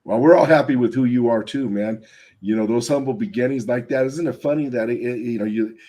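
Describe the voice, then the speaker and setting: A man hosting an interview, affable and agreeable, with drawn-out fillers as he searches for words. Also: mid-range voice, warm tone. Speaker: interview host, gym owner. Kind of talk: casual interview chat.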